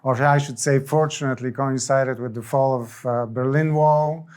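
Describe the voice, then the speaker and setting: A middle-aged man from Bulgaria with a Bulgarian accent, giving a conversational slide lecture to a library audience, often pausing on fillers and restarting sentences. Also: mid-range voice, steady tone, a little mellow, clear, resonant tone. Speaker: orchestra music director giving a talk. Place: library event room with an audience.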